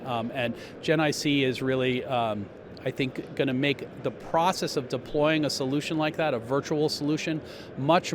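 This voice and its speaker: A man giving a measured, technical explanation as a company spokesman in a trade show interview. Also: medium pitch. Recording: noisy trade show floor.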